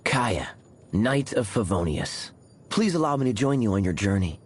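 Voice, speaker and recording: An actor playing a suave, teasing young knight in a video game, speaking in a smooth, sly manner. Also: male voice, on the low side, sing-song, moderate pace. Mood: sly, amused. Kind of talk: video game character voice lines.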